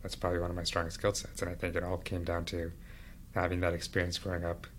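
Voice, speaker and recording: A man talks in a deep voice that stays quite flat. He is a podcast guest, reflecting and explaining at a measured, conversational pace with pauses. Faint background noise sits under the recording. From India and Venezuela, then Canada from age thirteen. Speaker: podcast guest, business executive. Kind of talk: conversational interview, reflective personal storytelling.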